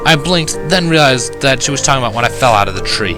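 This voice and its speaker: A man's voice: mid-range voice, animated, fast, over music, low-quality recording. A man reading a first-person story aloud in a wry, conversational way. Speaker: audiobook reader of fan fiction.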